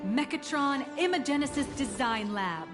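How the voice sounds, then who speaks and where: An actress playing a polite, enthusiastic tour guide in a video game, explaining things in a formal, scripted way. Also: female voice, high voice, expressive, normal speed. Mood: informative. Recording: music behind the voice.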